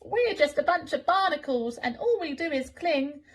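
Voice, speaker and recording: A woman's voice: high-pitched, lively intonation, normal speed, voice pushed up. A woman voicing a cheerful, cheeky little barnacle from a storybook in a chirpy, sing-song way.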